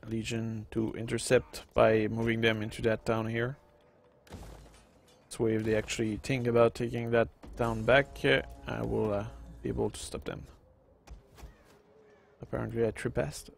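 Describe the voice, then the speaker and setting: Gravelly Quebec-accented man commenting on gameplay. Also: mid-range voice, a little hiss or hum. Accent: Quebec French. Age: 30s. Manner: rambling with many uh fillers, reading comments. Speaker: gaming video host. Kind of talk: casual let's-play commentary.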